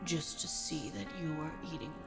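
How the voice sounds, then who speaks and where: A woman's voice: low voice, moderate pace, close to an everyday voice. A woman playing an ancient, menacingly doting female vampire in an audio roleplay, teasing as she draws out her words. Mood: silky menace. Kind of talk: ASMR audio roleplay acting. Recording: loud music over the voice. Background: music.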